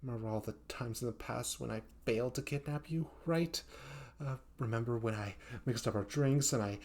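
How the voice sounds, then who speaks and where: A man's voice: mid-range voice, normal speed, a little mellow, natural voice. A man voicing a hapless, sweet but clingy young yandere student in a comedic audio roleplay, flustered, stammering and pleading. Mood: whiny pleading.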